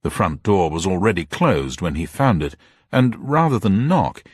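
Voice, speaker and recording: A man's voice: deep voice, husky, dry recording, clean studio sound. A man narrating a scripted mystery story in a dramatic style.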